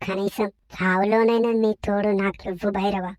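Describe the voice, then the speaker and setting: A woman voicing a proud, vengeful young woman in an animated parody, dramatic and challenging. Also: medium pitch, moderate pace, dry recording, close to an everyday voice. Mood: melodramatic. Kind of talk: animated parody comedy voice acting.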